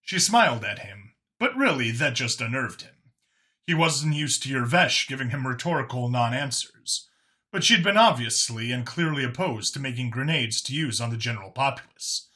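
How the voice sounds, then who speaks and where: A male audiobook narrator reads scripted fiction in a mid-range voice. The tone is bright and forward, suited to prose storytelling. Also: mid-range voice, expressive, moderate pace.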